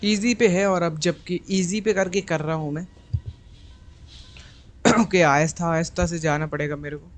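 A man's voice: on the high side, fairly even intonation, normal speed, long pauses. A young man giving casual commentary as host of a gaming video, with game music behind him.

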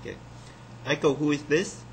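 A man in his thirties giving a halting, unscripted software demonstration as its developer. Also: fairly high pitch, steady tone. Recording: clearly noisy room recording.

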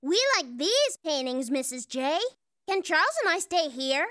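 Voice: sits high, sing-song, a little slow, bright, forceful tone, no echo, studio quality, full character voice. A performer voicing an earnest little girl animal kindergartner who loves painting in a children's cartoon, with music behind. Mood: eager.

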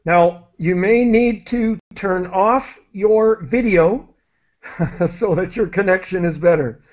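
High-voiced man teaching over a video call. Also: takes it slowly, darkish tone. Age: middle-aged. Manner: friendly, explanatory, with many fillers and restarts. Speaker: English teacher hosting an online class. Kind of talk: live online English lesson. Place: small room indoors. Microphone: headset on a video call. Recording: dull lo-fi video call audio.

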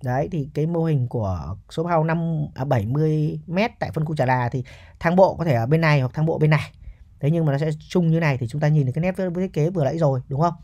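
Fast-talking man presenting a property. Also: fairly high pitch, dark tone, dull audio. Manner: chatty, often tags statements with a checking question. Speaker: real estate agent presenting a property. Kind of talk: casual property walkthrough commentary.

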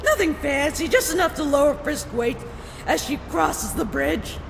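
A woman's voice: high-pitched, lively intonation, moderate pace, creaky. A woman voicing a brash, impatient fish-woman warrior in a comic dub, blunt, slangy and bossy. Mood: brisk, exasperated. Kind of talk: dramatic comic dub acting. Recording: echoey reverberant hall with background noise.

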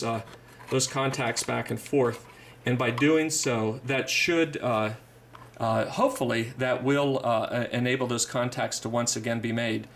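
Halting man giving a hands-on tutorial. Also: medium pitch, a bit bright, slight background noise. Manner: halting, repeats phrases, methodical. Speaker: video creator giving a repair tutorial.

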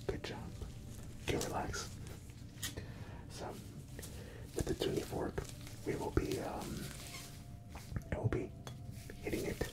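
A young man whispers softly and gently in an ASMR medical roleplay, playing a reassuring doctor who gives instructions close to the microphone. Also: slow, long pauses, rough recording. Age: young adult. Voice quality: soft, breathy whisper. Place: small room indoors, set up as an exam office.

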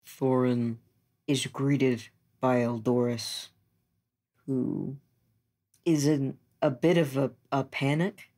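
A man improvising story and dialogue as a player in a tabletop role-playing game, gentle and halting. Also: medium pitch, steady tone.

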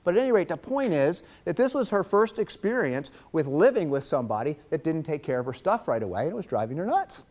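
A man in his fifties giving a lively guest lecture to college students in a classroom, teasing his audience as he talks into a lapel mic. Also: fairly high pitch, quick pace, hardly any pauses. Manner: animated, gestures widely, teasing the audience.

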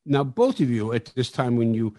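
A man hosting a podcast interview, asking questions with frequent halting restarts. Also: medium pitch, very animated, dark tone, dry recording, studio quality. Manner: halting, repeats words. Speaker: podcast host.

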